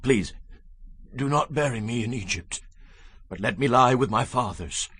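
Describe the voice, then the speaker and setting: An actor playing a frail, dying old Hebrew patriarch in a dramatized scripture reading, tender and solemn, with pleading, drawn-out phrases. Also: male voice, mid-range voice, lively intonation, fairly bright tone, slightly rough. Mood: earnest pleading.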